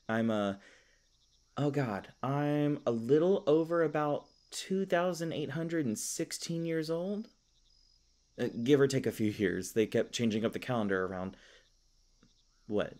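A man's voice: mid-range voice, measured pace, long pauses, a little mellow, close to an everyday voice. A man playing a kindly, flustered, youthful elf park ranger in a fantasy audio roleplay, stammering and rambling apologetically. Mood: chatty, sheepish. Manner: rambling, stammering, apologetic, self-deprecating humor. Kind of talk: fantasy audio roleplay, casual conversational acting.

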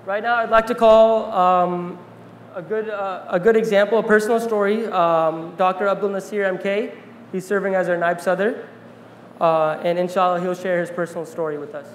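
A man's voice: high-pitched, steady tone, slight background noise. Young man telling stories in an echoey hall. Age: young adult. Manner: earnest, reflective, pauses with fillers. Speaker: speaker and interviewer. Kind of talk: storytelling talk on stage, reading notes. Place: large mosque hall on a stage. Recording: roomy hall reverb, faint ambience.

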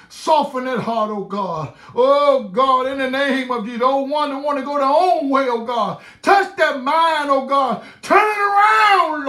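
A man's voice: very high-pitched, pushed and bright. A middle-aged man leading a fervent spoken prayer, pleading and calling out over and over with great passion. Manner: impassioned, pleading, repeated cries to God. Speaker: prayer leader. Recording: roomy echo.

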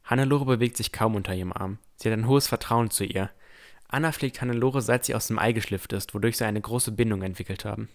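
Ein Mann spielt einen vorsichtigen jungen Entdecker, der sein Fantasy-Abenteuer bedacht und ernsthaft in der Ich-Form erzählt. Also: male voice, fairly low pitch, quick pace, close to an everyday voice. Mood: calm narrating. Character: cautious, thoughtful young male explorer narrating his adventure. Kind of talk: first-person scripted narration in fantasy audio drama.

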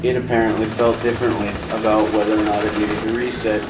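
A man's voice: on the low side, flat delivery, fast talker, distant mic, heavy background noise. A man casually commentating his own gameplay as host, joking with a friend. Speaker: let's play host. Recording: echoey, off-mic, loud game music.

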